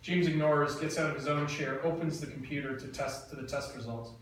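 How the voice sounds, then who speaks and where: A man reading a screenplay's stage directions aloud in a plain, even way. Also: on the high side, brisk, far from the mic. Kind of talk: reading screenplay action lines. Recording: echoey room, mic from afar, faint noise.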